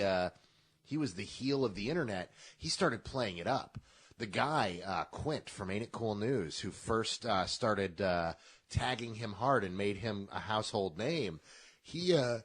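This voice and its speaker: A man's voice: fairly low pitch, husky. A man co-hosting a podcast, talking in a casual, profane, opinionated rant. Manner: animated, profane, opinionated rants. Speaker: podcast co-host. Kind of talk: casual chat.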